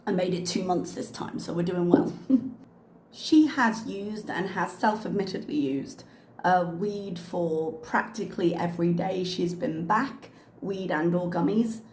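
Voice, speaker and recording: Woman doing reaction commentary, kinda hoarse from a cough, lots of ums, echoey room w/ faint background hum. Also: lowish voice, unhurried, a little mellow. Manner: chatty, frank, with frequent ums and uhs. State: slightly losing her voice from a cough. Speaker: commentary channel host.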